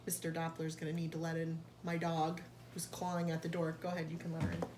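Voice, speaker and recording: A woman reading a picture book aloud to young children like a teacher, pausing to explain and carefully sounding out key words. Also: low-pitched, completely flat delivery, darkish tone. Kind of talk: read-aloud storytelling with explanatory asides. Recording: echoey room, faint background noise.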